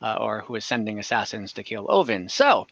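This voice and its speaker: A man's voice: fairly low pitch, big pitch swings, fairly quick, vocal fry, a bit bright, no room sound, muffled recording. A man casually recapping and narrating a tabletop game as game master, conversational and hesitant, often correcting himself. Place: indoor room, online game session.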